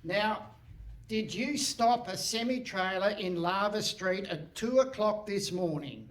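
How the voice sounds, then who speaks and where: An actor playing a curt, pompous and impatient police inspector in a comic radio play on stage. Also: male voice, high voice, slow pace, a bit bright. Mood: exasperated. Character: bossy, impatient, exasperated male police inspector. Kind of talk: comic live radio theatre. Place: hall stage before live audience.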